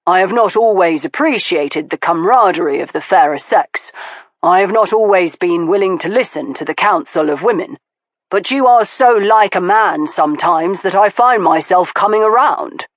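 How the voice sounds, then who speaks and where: A woman voicing an earnest, confident young aristocratic man who speaks in a measured, formal way, in an audiobook. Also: lowish voice, animated, dry recording. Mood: sincere. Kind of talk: audiobook dialogue.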